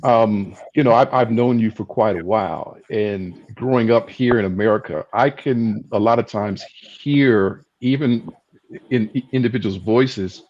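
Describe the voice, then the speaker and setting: Man, moderator, low husky voice, halting thoughtful questions, dull lo-fi video call audio. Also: darkish tone. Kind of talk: panel discussion on a video call.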